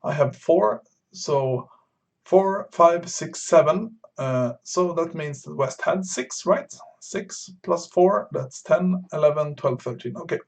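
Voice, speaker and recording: A man's voice: on the high side, lively intonation, takes it slowly, creaky. Hesitant man explaining his bridge play. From Sweden. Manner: thinking aloud, hesitant with frequent fillers. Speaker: bridge streamer teaching beginners. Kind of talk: live-stream commentary explaining card play.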